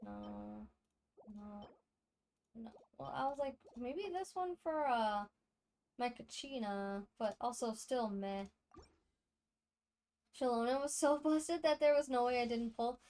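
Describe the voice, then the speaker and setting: A woman's voice: a little high, takes it slowly, creaky, long pauses, a little mellow. A woman livestreamer giving casual, chatty gameplay commentary to her viewers, speaking off the cuff. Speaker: game livestreamer.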